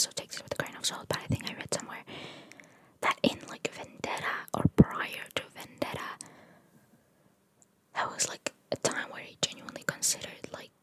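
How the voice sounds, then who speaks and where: Whispering ASMR woman. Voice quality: soft, unvoiced whisper. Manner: informal, musing asides with mild swearing. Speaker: ASMR content creator. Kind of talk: whispered ASMR reading of trivia with casual commentary.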